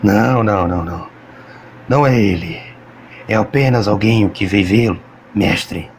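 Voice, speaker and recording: A man voicing a menacing possessed man with a hoarse, angry, aggressive voice in an audiobook. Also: on the low side, measured pace, slight background noise, close to an everyday voice.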